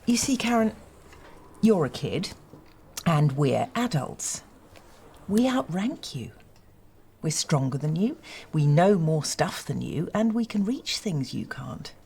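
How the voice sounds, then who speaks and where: Smug, patronising headmistress. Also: female voice, low-pitched, big pitch swings, slow, gravelly, warm tone, slight background noise, full character voice. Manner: dry, patronising, precise. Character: condescending, smug female school headmistress. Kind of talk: sitcom acting.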